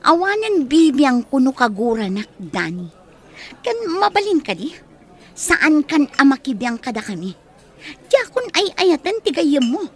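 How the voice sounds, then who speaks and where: A woman's voice: sits high, big pitch swings, a little slow. An actress playing an emotional young woman, firm and wounded, in a radio drama with a Filipino accent. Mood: cold, firm.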